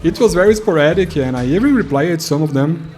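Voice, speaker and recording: A man's voice: fairly high pitch, animated, quick pace, a little mellow, soft background music. A Brazilian man in his thirties whose first language is Portuguese, narrating an earnest retrospective video in English. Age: 30s. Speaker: YouTuber.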